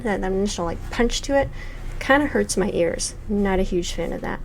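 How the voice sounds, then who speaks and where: A woman's voice: mid-range voice, lively intonation, normal speed, vocal fry, a little hiss or hum, poor audio. A woman in her forties giving thoughtful, hesitant let's play commentary with many fillers while reviewing a game. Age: 40s.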